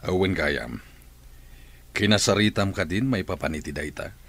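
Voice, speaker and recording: Low-voiced, concerned father. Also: moderate pace, vocal fry, fairly bright tone, full character voice. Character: concerned middle-aged father. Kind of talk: radio drama acting.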